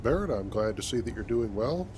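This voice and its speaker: A man playing an earnest, altruistic starship captain in scripted game dialogue, with a measured delivery. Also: medium pitch, expressive, background noise, lightly acted. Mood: dry, relieved.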